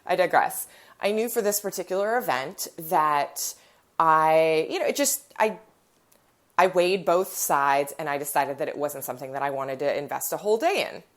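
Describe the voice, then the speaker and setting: A woman's voice: low voice, lively intonation, normal speed. A woman hosting a podcast, stuffy and nasal from a cold, chatting in a rambling storytelling way, recorded on her phone in her living room. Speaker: podcast host. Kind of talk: casual chat.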